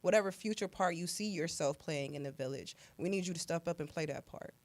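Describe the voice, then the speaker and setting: A woman's voice: fairly low pitch, a little fast. An earnest woman speaking as a community organizer in a live radio discussion, repeating phrases for emphasis. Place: radio studio.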